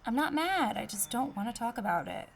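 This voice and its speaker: A slightly high voice of an American teenage girl played by a woman. She sounds casual, dry and a little guarded, as a character in an audio drama. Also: very animated, brisk, creaky, heavily acted.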